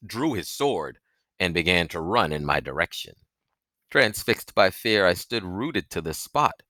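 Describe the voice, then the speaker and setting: A man narrating an audiobook in an expressive, suspenseful, dramatic storytelling style. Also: sits low, dry recording.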